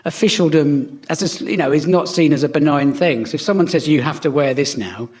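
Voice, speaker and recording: A man's voice: on the high side, fairly quick, darkish tone. A man with a British accent making topical comic points as a guest on a panel show.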